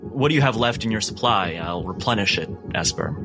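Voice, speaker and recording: A man playing a courteous, soft-spoken asylum doctor with a measured, clinical and quietly menacing manner in a tabletop horror game. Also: fairly low pitch, animated, over music. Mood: polite, clinical.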